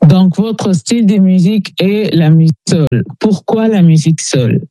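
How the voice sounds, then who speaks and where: A woman's voice: fairly low pitch, moderate pace, mellow, no room sound. A woman hosting a radio interview in a studio, introducing her guest and putting questions.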